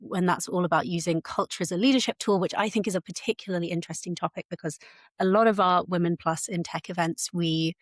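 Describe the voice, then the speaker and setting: A woman's voice: lowish voice, fast talker, no room sound, studio quality. Upbeat woman co-hosting a podcast. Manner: upbeat, friendly, lively. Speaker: podcast co-host. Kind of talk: casual podcast chat.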